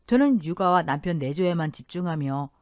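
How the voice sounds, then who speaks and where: A woman's voice: on the low side, expressive, a little fast, no echo, studio quality. A woman reading a scripted first-person life story as a radio drama narrator.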